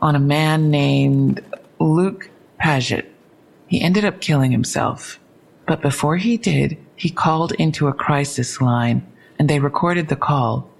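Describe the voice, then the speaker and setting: An actress playing a frank, inquisitive police investigator in an audio drama, matter-of-fact and wry, with a husky, creaky voice. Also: female voice, low voice, a little slow, exaggerated voice. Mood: matter-of-fact, probing. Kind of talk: full-cast audio drama dialogue.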